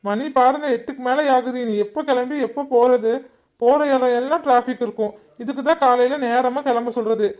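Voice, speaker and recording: A man's voice: very high voice, steady tone, brisk, darkish tone. A man playing an impatient grown son who hurries his family along, fretting about the time.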